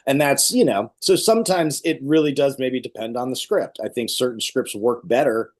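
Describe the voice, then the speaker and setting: A man's voice: medium pitch, moderate pace, darkish tone. Man giving casual, explanatory interview answers. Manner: explanatory, illustrating with acted-out quotes. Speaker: stage actor and guest.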